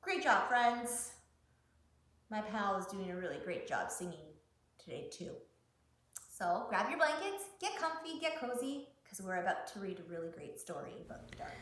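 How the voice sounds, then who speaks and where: A woman's voice: mid-range voice, sing-song, moderate pace, a bit bright, reverberant. A woman hosting a children's story time, reading picture books and talking in simple, encouraging words to young listeners. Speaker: library story-time host.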